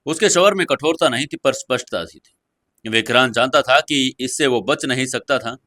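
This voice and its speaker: A man's voice: medium pitch, moderate pace, sharp tone, no room sound. A man narrating a scripted audio story in a dramatic storytelling style, close on the mic.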